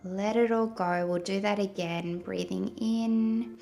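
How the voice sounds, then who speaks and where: A woman leading a guided meditation in a gentle, soothing manner with long pauses between phrases. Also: mid-range voice, steady tone, creaky. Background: soft background music.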